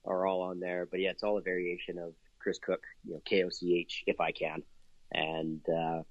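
A cheerful, chatty man from Canada speaks as an interview guest. His voice sits a little low and sounds very dry, with no room around it. The line is slightly dull and lo-fi. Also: monotone, normal speed, dry recording. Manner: upbeat, chatty, laughs often, many fillers. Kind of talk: casual interview talk.

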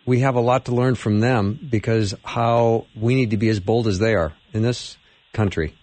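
A man hosting an interview, eager and quick with short affirmations. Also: fairly low pitch, steady tone, a little mellow, no echo. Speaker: radio host.

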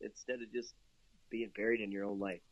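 A man's voice: on the low side, flat delivery, a little fast, no room sound. Upbeat Canadian man on a dull line. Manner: upbeat, chatty, laughs often, many fillers. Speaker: interview guest. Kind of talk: casual interview talk.

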